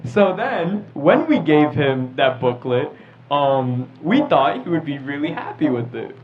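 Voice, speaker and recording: A man's voice: fairly high pitch, expressive, unhurried, a little hiss or hum. Muffled teenage boy telling stories casually. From New York, United States. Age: teens. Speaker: teen podcast co-host. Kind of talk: casual chat, storytelling.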